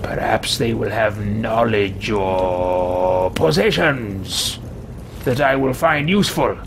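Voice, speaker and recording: A man's voice: fairly low pitch, background noise, voice pushed down. A man voicing a pompous, haughty sorcerer who calls himself king, theatrical and archaic in a video game roleplay. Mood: suspicious, disdainful. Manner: pompous, theatrical, archaic wording, slow and deliberate. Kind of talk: in-character roleplay video game let's play. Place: small home room with shelves, indoors.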